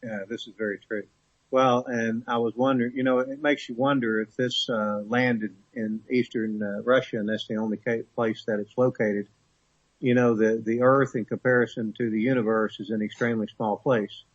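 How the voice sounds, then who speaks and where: A man with a husky voice puts curious, musing questions to a radio guest. The sound is dry and muffled. His pitch sits in the middle. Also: fairly even intonation, normal speed, no room sound. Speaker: caller asking questions. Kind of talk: conversational questions.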